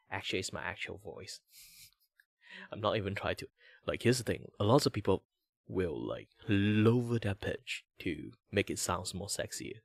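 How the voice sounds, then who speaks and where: Chatty Malaysian-accented young man, low voice. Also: gravelly, no echo, studio quality. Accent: Malaysian English. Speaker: streamer host. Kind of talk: casual chat while drawing.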